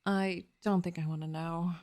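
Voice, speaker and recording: A woman's voice: fairly low pitch, steady tone, moderate pace, a little mellow, close to an everyday voice. A woman playing a sceptical, grumpy young elf in an ASMR roleplay, speaking in a dry, reluctant, easily flustered manner. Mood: reluctantly intrigued. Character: sceptical, grumpy, secretly intrigued young female elf forest warden.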